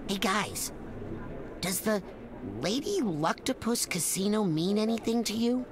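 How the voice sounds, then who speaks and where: A performer voicing an eager, earnest young intern kid in a video game. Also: female voice, medium pitch, lively intonation, a little slow, background noise, lightly acted. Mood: insistent. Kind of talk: animated video game acting.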